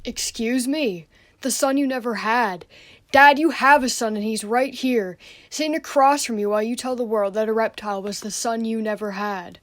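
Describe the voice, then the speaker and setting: A woman's voice: fairly high pitch, moderate pace, no room sound, clean studio sound, close to an everyday voice. A young female student playing an aggrieved, jealous, overlooked son in a radio play. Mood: indignant. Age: teenager or young adult student.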